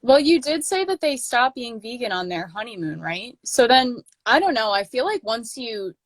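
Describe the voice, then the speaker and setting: A woman's voice: on the high side, very animated, normal speed, dry recording. A woman in her late twenties hosting a casual livestream chat, talking loosely with many fillers and restarts. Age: 20s. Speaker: channel host.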